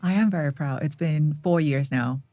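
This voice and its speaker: A woman's voice: sits low, moderate pace, warm tone, no room sound, soft background music. A woman in her forties from Hong Kong, a native Cantonese speaker, chatting earnestly in an interview with frequent "um" and "like". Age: 40s. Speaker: guest, brand founder. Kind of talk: casual interview chat.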